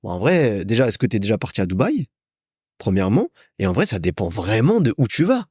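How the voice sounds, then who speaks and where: A man's voice: fairly low pitch, big pitch swings, brisk, darkish tone, slightly rough, no room sound, studio quality. A young man from France hosting a solo podcast, telling stories in a chatty, informal way as if to a friend. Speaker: podcast host. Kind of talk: casual solo podcast monologue, storytelling.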